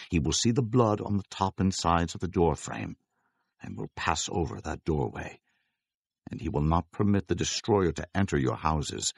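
A stern prophet leader in an audio bible, husky and gravelly, urgent, swings up and down. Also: male voice, low voice, no echo, heavily acted. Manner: urgent, declaiming instructions. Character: Moses, stern male prophet and leader of his people. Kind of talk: dramatized scripture acting.

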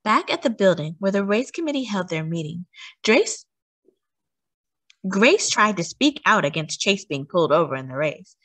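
Animated woman reading a children's storybook. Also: mid-range voice, moderate pace. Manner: animated, acting out sighs and cheers. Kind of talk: expressive children's storybook read-aloud.

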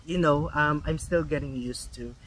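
A man's voice: fairly high pitch, moderate pace, a bit bright, slight background noise, low-quality recording. A young Filipino man with a Filipino accent and Filipino as his first language, vlogging casually, with many ums and restarts. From Philippines. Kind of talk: casual vlog talk.

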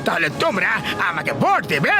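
A man's voice: high voice, huge pitch swings, fairly quick, full character voice. A man voicing a scheming, boastful cartoon crook running for mayor, bombastic and theatrical, with whiny turns. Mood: boastful, persuasive. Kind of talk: dubbed cartoon acting. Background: loud background music.

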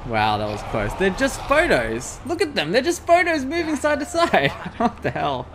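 A man's voice: very high voice, wildly swooping pitch, normal speed, noisy background. A young adult man giving playful, sarcastic, exclamatory casual commentary while playing a video game. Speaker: let's play host. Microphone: desk USB microphone.

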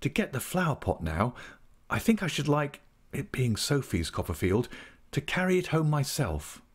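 A man voicing an earnest, grateful young gentleman in a storybook, shy, polite and hesitant. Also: medium pitch, sing-song, moderate pace, slightly rough, voice pushed up. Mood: bashful gratitude.

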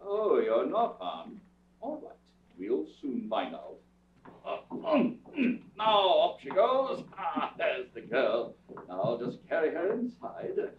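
A proper, easily flustered butler from an old radio comedy, acted by a man. He speaks formally and hesitantly with big pitch swings, in a thin, echoey recording from far off. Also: wildly swooping pitch, slow pace, a little hiss or hum, exaggerated voice. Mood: coaxing, playful. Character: polite, reserved butler, flustered by attention. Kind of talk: staged old-time radio comedy acting. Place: indoor theatre stage, distant camera. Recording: muffled, thin, echoey distant stage recording.

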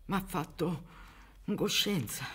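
A woman acting in a radio drama as a humble, anguished old peasant mother, halting and pleading in a husky voice. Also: fairly low pitch, lively intonation, a bit bright, full character voice.

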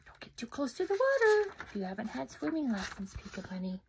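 A middle-aged woman reading her own children's book aloud at home, interactive, with soft, often whispered asides to young listeners. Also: high voice, very animated, brisk, slight background noise. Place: home room, indoors. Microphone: phone camera close up.

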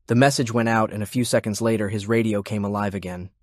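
A man's voice: fairly low pitch, fairly even intonation, fast talker, no room sound, studio quality. A man reading scripted fiction as an audiobook narrator.

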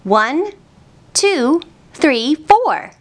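A woman's voice: high voice, big pitch swings, drawn-out words. A young woman hosting a children's storytime, explaining things simply in a sing-song way to small children.

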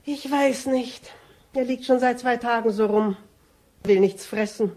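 An actress playing a polite, slightly weary female innkeeper in a radio play, unhurried in her talk. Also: fairly high pitch, darkish tone.

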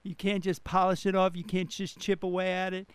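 A man's voice: high voice, completely flat delivery, fairly quick, clean tone, no room sound. A man speaking as a pastor and radio co-host, preaching emphatically and repeating phrases for stress. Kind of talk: animated radio preaching and banter.

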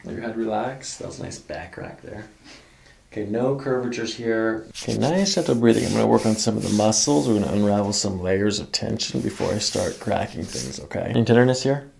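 A man's voice: on the low side, a little fast, vocal fry, darkish tone. A middle-aged man working as a chiropractor, giving soothing, instructive directions to a patient during a hands-on exam. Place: small carpeted treatment office.

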